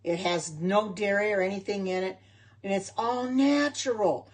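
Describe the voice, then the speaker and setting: A woman's voice: mid-range voice, normal speed, slightly rough. Chatty woman rambling on a home livestream. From United States. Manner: chatty, rambling, answers viewer comments. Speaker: home cook and vegan livestreamer. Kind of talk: casual livestream chat with viewers.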